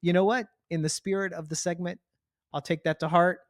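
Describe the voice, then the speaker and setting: Male podcast co-host, slightly high-pitched, dry close sound. Casual, rambling, playful teasing. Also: steady tone, a little fast, no echo. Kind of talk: casual banter with co-host spouse. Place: home garage studio.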